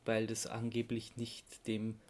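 A man with an Austrian accent explaining things in a casual podcast chat as a host, often halting and searching for words. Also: lowish voice, completely flat delivery, a little mellow.

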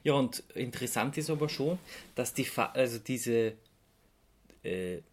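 Podcast host, casual chat, mid-range pitch, jokey and quick to laugh. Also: animated, a little hiss or hum.

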